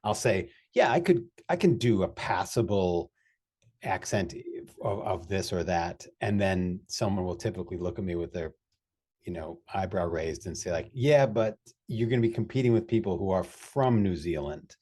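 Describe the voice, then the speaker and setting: An upbeat man hosting a relaxed conversation, his voice sitting a little low. He comes from Wisconsin and keeps the chat moving with lively, animated energy. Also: normal speed, slightly rough. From Wisconsin, USA. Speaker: host. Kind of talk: casual chat.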